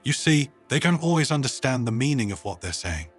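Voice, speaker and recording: A man voices an earnest female presenter in a narrated story. The delivery is formal and explanatory, with animated pitch, a slightly husky tone and soft music beneath. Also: brisk. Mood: flustered. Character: earnest woman presenting her pet to an audience.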